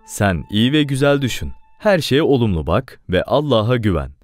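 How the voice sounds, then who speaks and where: A man's voice: mid-range voice, sing-song, moderate pace, faint music behind. A man reading scripted moral narration as a narrator.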